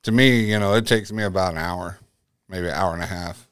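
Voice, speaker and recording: Low-voiced man hosting a podcast. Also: brisk, a bit bright, smooth, dry recording, clean studio sound. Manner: joking, rambling, self-correcting. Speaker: podcast host. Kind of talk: casual chat.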